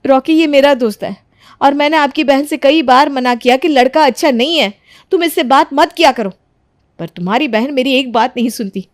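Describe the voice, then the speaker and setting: A woman playing a scheming, quarrelsome young wife in a melodramatic, accusing manner for an animated moral story. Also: high voice, moderate pace, no room sound, studio quality, natural voice. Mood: self-righteous. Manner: accusing, melodramatic, self-righteous. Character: scheming, quarrelsome young married daughter-in-law.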